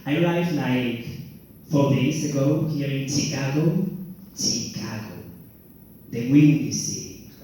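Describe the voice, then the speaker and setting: Young man, Spanish accent, stand-up comic, self-mocking and theatrical; stage mic caught far off in a booming room with faint noise. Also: slow, mellow, heavy reverb. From Spain. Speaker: stand-up comedian. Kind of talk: stand-up routine. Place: comedy club stage. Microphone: handheld stage mic.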